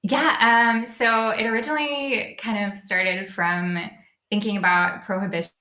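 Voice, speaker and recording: A woman's voice: medium pitch, steady tone, unhurried, pushed and bright. A woman talking informally as an author and guest in a casual interview, often saying "like" and "kind of". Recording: very roomy, echoey sound.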